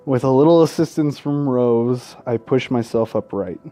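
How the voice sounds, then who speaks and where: A man's voice: mid-range voice, normal speed, mellow. A man reading a visual novel aloud as a gaming host, tripping over words, correcting himself and dropping casual asides and swearing. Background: faint game music.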